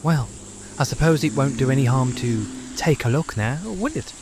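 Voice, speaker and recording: A bumbling, old-fashioned gentleman , acted by a man, politely baffled and given to exclaiming. His voice swoops up and down as he hesitates, with clear background noise in this gothic audio drama. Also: mid-range voice, lively intonation, moderate pace, close to an everyday voice. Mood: nervously polite.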